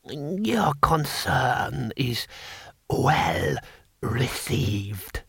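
A man's voice: medium pitch, big pitch swings, gravelly, a bit bright, breathy edge, no echo, clean studio sound, exaggerated voice. A man voicing an ancient, gleefully cruel emperor in a thin, whispery old voice for an audiobook. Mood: soft menace. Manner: slow, halting, drawn-out. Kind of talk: audiobook character voice.

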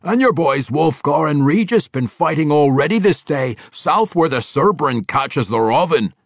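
A roaring, boisterous dwarf warrior. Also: male voice, a little high, animated, a little slow, no room sound, studio quality, voice pushed up. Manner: roaring, laughing, folksy dialect. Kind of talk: audiobook character voice.

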